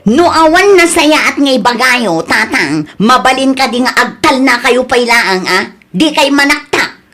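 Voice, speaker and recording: A woman's voice: high voice, animated, a little slow, sharp tone, full character voice. A woman playing a stressed, defensive young food vendor in a comedy drama, with an Ilocano accent and plaintive, insistent delivery.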